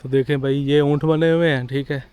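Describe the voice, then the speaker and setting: A man's voice: a little high, fairly even intonation, a little fast, smooth, no echo, narrow, tinny audio. A young man from Pakistan, giving casual, chatty commentary to a handheld camera as he walks through old-town streets outdoors. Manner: chatty and conversational, pointing things out as he walks. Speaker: travel vlogger. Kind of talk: casual walking tour commentary.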